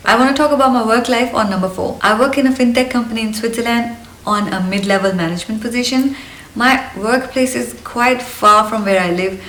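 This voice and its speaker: An energetic, chirpy woman in her thirties with an Indian accent speaks earnestly to her viewers. Her first language is Hindi. The room is echoey and the mic sits a bit far from her. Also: mid-range voice, slight background noise. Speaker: vlogger. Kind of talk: personal get-to-know-me vlog. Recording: roomy, slightly distant mic.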